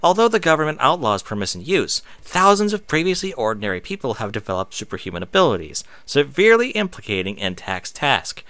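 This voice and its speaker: Male casual reader, bright forward voice, very varied intonation, occasional stumbles. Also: medium pitch, big pitch swings, fairly quick, few pauses, dry recording. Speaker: online reader and streamer. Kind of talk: reading aloud with casual off-script asides.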